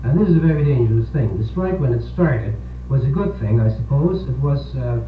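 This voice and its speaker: A male priest with a mid-pitched voice argues his views earnestly on local radio, halting and repeating words. The old 1970s recording is narrow and sounds far from the mic, with a faint hum. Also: animated. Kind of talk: opinionated local radio discussion. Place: radio program, distant-sounding and echoey. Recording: tinny, echoey 1970s radio aircheck, humming noise.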